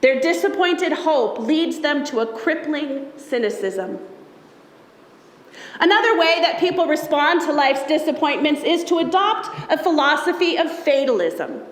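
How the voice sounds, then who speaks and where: Female pastor, high voice, measured and solemn sermon reading, very roomy, echoey church sound. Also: very animated. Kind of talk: sermon read aloud from a text. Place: church sanctuary during a service. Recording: echoey church hall with long reverb.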